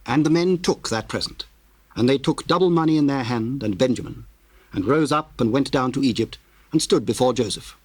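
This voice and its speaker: A male narrator reads scripture formally and with measured phrasing. His voice sits at a middling pitch, recorded close and dry. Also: darkish tone, no echo.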